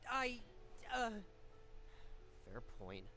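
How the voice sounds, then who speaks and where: Excitable challenging game guy, voice swoops all over, faint noise. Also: very high voice, extremely slow, heavily acted. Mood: indignant. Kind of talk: video game voice acting.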